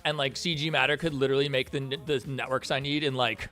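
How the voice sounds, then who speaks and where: A man's voice: medium pitch, fast, faint music behind. New York man, casual slangy streamer. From Queens, New York. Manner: animated, slangy, swearing, joking. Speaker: streamer and animator. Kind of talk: casual reacting and chat while working.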